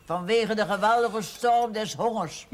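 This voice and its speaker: A woman's voice: mid-range voice, measured pace, lightly acted. An actress playing a pious, sardonic spinster aunt in her eighties in a television drama, solemnly declaiming like someone reciting scripture. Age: 80s. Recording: faintly noisy 1970s television soundtrack.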